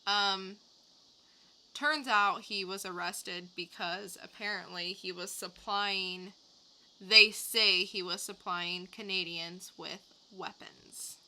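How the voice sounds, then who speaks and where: Bright, slow-talking woman co-hosting a podcast. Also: medium pitch, vocal fry, low-quality recording. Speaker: podcast co-host reviewing comics. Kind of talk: casual review chat.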